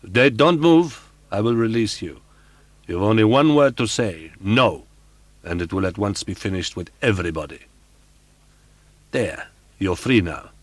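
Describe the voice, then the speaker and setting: A man's voice: lowish voice, expressive, unhurried, long pauses, dry recording. A man reading an audiobook, voicing a volatile, jealous masked phantom who taunts and rages in a raised, grating voice. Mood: coaxing.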